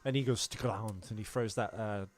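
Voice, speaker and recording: A man's voice: lowish voice, steady tone, brisk. Dry, teasing male podcast co-host. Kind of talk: casual movie podcast banter.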